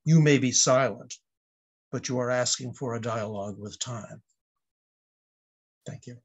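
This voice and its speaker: An older man in about his seventies reading his poem aloud over a video call, with measured, reflective phrasing. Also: mid-range voice. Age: older, about 70s. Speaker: poet at an open mic. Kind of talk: poetry reading.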